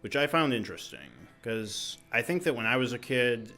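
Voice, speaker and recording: A man's voice: medium pitch, animated, moderate pace, music in the background. A man co-hosting a podcast in casual chat, hesitant and full of fillers. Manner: lots of fillers and mm-hmms, hesitant. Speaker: podcast co-host.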